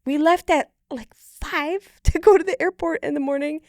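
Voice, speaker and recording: Higher-voiced woman in casual, chatty talk. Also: normal speed, darkish tone, no echo, studio quality. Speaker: podcast co-host.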